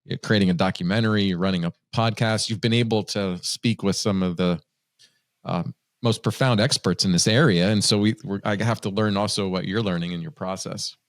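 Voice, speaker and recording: A man's voice: on the low side, steady tone, a little fast, no echo, studio quality. A man hosting a podcast, asking a long question and rephrasing himself as he goes. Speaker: podcast host. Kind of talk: interview question.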